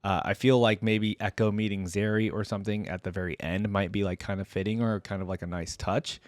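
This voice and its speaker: A man's voice: fairly low pitch, flat delivery, brisk, no echo. A man hosting a reaction video, reading and commenting casually as an informal, enthusiastic fan.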